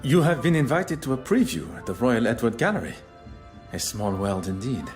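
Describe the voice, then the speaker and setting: A man plays a theatrical Belgian detective with a French accent and a very lively, swooping delivery. He is formal, polite and precise, always probing, as in a mystery game. Soft music plays behind him. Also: medium pitch, moderate pace, close to an everyday voice. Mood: courteous. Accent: French-speaking Belgian. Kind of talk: video game voice acting.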